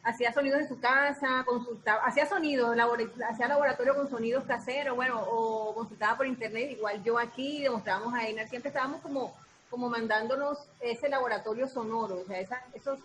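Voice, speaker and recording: A woman's voice: fairly high pitch, quick pace, fairly bright tone, slight background noise, poor audio. A woman chatting casually as a guest, repeating words while she explains. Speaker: guest, radio theatre maker. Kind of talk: casual chat.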